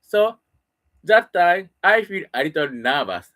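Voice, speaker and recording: A middle-aged Japanese man, a native Japanese speaker, talking alone in halting English with a Japanese accent, pausing and restarting as he searches for words. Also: high-pitched, sing-song, fairly bright tone. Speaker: solo broadcaster practicing English. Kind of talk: casual spoken monologue.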